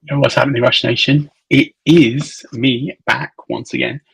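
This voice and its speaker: A man hosting a podcast. He explains sign-up details in a casual, rambling way, and his voice is still croaky. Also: medium pitch, steady tone, a little slow, a bit bright, no echo, low-quality recording. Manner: rambling, filler-heavy explanation. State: croaky, recovering voice. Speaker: podcast host.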